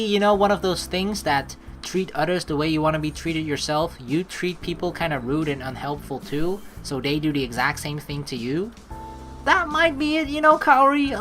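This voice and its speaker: A woman hosting a game playthrough, reading narration aloud and adding casual commentary in a playful, expressive manner. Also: lowish voice. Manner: playful, expressive, acts out lines. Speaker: let's play host.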